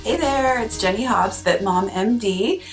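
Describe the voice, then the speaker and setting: Hoarse woman with a gravelly cold voice. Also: a little high, expressive, unhurried, soft background music. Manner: chatty and earnest, with fillers and coughs. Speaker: podcast host and coach. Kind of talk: casual solo podcast talk. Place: at home at night.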